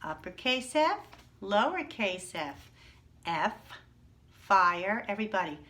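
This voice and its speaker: A woman teacher leading young children through letters and words, speaking slowly and deliberately. Her voice is bright and forceful, and she keeps asking the kids to say each word with her and praises them. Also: medium pitch, big pitch swings. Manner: encouraging, slow and drawn out, calls for repetition. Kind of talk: early-reading lesson for young children.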